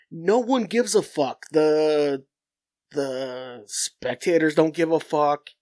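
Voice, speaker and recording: A man's voice: fairly high pitch, no room sound, studio quality. A young adult man, stuffed-up and nasal, hosting a podcast as a blunt, profane solo rant that rambles and restarts. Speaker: podcast host.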